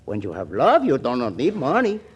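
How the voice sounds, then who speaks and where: An actor playing an ardent, charming, flustered suitor in a 1940s radio comedy, with a Spanish accent and effusive broken English. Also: male voice, medium pitch, huge pitch swings, a little fast, breathy edge, dull audio, exaggerated voice. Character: ardent young Latin suitor, charming penniless man, flustered.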